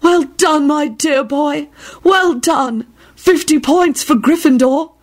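A woman's voice: sits high, slow pace, pitched above the performer's own voice. A woman voicing a vague, dreamy older witch teacher who murmurs and speaks melodramatically in a read-aloud story. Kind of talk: storybook character voice.

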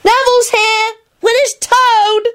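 A woman voicing an excitable little boy in a storybook, eager and childlike. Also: very high voice, slow, voice pushed up, exaggerated voice. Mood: excited. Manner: enthusiastic, wailing, childlike.

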